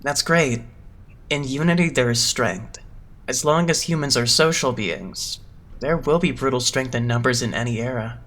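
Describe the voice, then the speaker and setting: A man's voice: medium pitch, a bit bright, lightly acted. Man playing a composed, lofty young idol leader. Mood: smooth, assured. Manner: measured, pompous, smoothly condescending. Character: composed, eloquent young male idol leader, loftily polite. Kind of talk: anime-style fandub voice acting.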